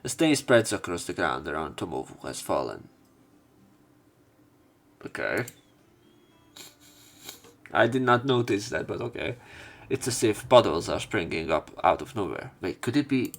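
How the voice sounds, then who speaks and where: A man with a fairly low voice plays through a visual novel. He reads the story text aloud and mixes in casual commentary of his own. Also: big pitch swings, measured pace, vocal fry, long pauses. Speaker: let's player.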